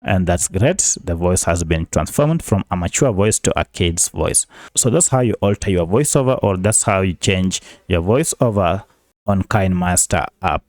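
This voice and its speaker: A man's voice: deep voice, animated, moderate pace, a little mellow, no room sound, clean studio sound. A man hosting an app tutorial, giving polite step-by-step instructions. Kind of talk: screen-recording tutorial narration.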